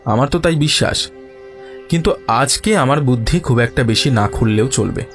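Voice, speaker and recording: An actor playing a self-assured, sceptical male detective in an audio drama, reasoning in a measured, dryly ironic way. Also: medium pitch, expressive, natural voice. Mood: calm, confident. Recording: music behind the voice.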